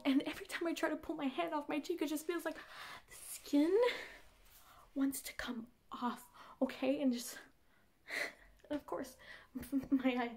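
A woman in her twenties playing a frantic, exasperated young woman rambling to a friend by phone in a comedy monologue. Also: high-pitched. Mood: panicked, indignant. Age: 20s. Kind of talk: comedy monologue audition acting.

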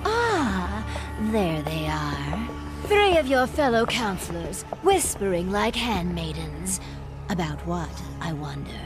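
An actress playing a hot-tempered, vengeful young empress in a fantasy game, haughty, theatrical and threatening. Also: female voice, on the low side, big pitch swings, slow pace, over music. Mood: sly threatening. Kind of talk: dramatic fantasy game acting.

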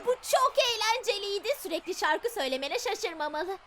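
A woman voicing a cheerful, feisty young female imp in a fan dub of a cartoon, bubbly and affectionate but sharp when hurt. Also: very high-pitched, expressive, a little fast, slight background noise. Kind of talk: fan dub of animated series. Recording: music playing behind.

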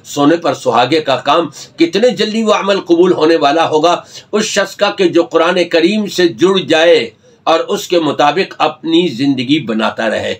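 An older man, an Islamic scholar, talks earnestly to the camera, admonishing and gesturing as he speaks from a study lined with books. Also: a little high. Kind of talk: religious talk to camera.

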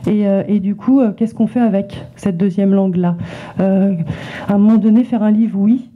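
Hesitant woman on a tinny mic. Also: mid-range voice. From France. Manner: hesitant, many repetitions and restarts. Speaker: illustrator and author at a library talk. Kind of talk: public talk, presenting her work. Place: library event room with small audience. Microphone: handheld microphone passed around. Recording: tinny phone-like sound.

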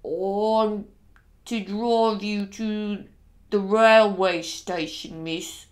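Woman doing a slow, worried male driver. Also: medium pitch, steady tone. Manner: slow, hesitant, deferential.

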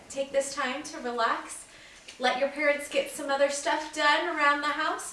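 A middle-aged woman, a teacher, doing an unhurried read-aloud for children and addressing them directly. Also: a little high, a bit bright. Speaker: second-grade teacher. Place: living room at home, indoors. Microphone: camera mic several feet away. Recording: echoey room, distant mic, background noise.

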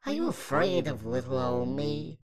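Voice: medium pitch, sing-song, moderate pace, crowd noise. A performer voicing a menacing, playful jack-in-the-box monster for fan-made game lines, taunting in a sing-song, mock-friendly way.

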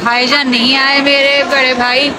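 Woman, high voice, chatty, repetitive phrasing, casual vlog, very noisy crowded mall. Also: level tone, a little slow, a loud crowd. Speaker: vlogger. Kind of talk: casual family vlog chat. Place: busy indoor shopping mall and lift. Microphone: handheld phone at arm's length. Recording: noisy crowded mall, loud background din.

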